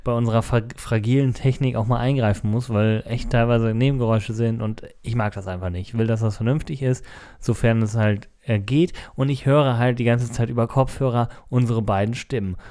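Mellow-voiced man chatting on a podcast. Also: mid-range voice, fairly even intonation, brisk. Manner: conversational, explanatory. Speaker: podcast co-host and recording engineer. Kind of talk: casual podcast chat. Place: seated at a table. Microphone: close podcast microphone at a table.

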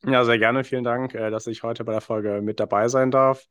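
A man's voice: medium pitch, fairly even intonation, fairly quick, fairly bright tone, clear, resonant tone, no echo. A man in his thirties from England, a podcast guest explaining his business in a friendly way with many fillers. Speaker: podcast guest, wine investment founder. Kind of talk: casual interview talk.

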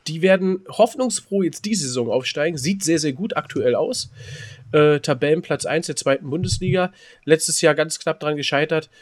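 A man with a slightly high voice chats casually as a podcast co-host. He teases as he goes and rambles through his stories, often repeating words. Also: moderate pace. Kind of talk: casual banter and storytelling.

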